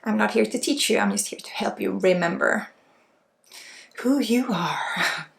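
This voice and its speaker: Creaky-voiced Swedish woman guiding ASMR healing. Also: medium pitch, unhurried. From Sweden. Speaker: energy healer and ASMR host. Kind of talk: ASMR spiritual healing session, unscripted. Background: faint music.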